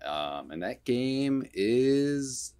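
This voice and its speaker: A man's voice: medium pitch, sing-song, extremely slow, dark tone, clean tone. A young man chatting casually about video games as a podcast host, in a rambling way full of hedges.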